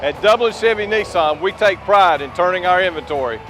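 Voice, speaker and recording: A male announcer reads an upbeat scripted car dealership spot. His voice is on the higher side, with clear music underneath. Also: expressive, fairly quick, fairly bright tone. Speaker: commercial announcer. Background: background music.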